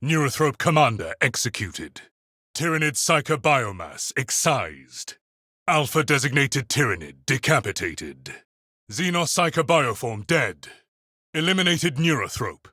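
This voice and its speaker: An actor playing a disciplined, tactical space marine giving terse military reports in a video game. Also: male voice, medium pitch, big pitch swings, a little slow, pushed and bright, dry recording, studio quality, heavily acted. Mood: cold satisfaction. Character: clipped, clinical male space marine soldier, disciplined and tactical. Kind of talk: video game combat voice lines.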